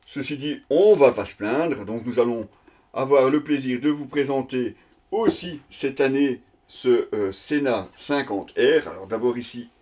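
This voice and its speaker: A man's voice: mid-range voice, unhurried, darkish tone, breathy edge. Casual man presenting an unboxing. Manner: hesitant, improvised, conversational delivery. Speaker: product reviewer presenting an unboxing.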